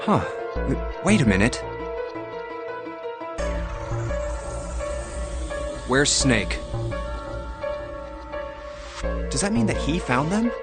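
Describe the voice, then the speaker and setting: Earnest baffled young anime hero, highish voice, lots of swing. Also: male voice, sing-song, moderate pace, over loud music. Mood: concerned. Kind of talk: anime game voice acting.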